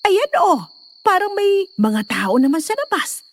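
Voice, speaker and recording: A woman playing an excitable, superstitious, bossy nanny in a Filipino horror audio drama. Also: extremely high pitch, unhurried, no room sound, clean studio sound, exaggerated voice. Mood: urgent, bossy. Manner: exclaiming, bossy, urgent.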